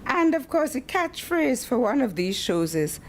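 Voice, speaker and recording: A woman in her sixties from the English-speaking Caribbean, giving a formal academic talk with personal tributes and animated storytelling. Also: high voice, measured pace. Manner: animated storytelling with acted-out quotes. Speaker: guest lecturer.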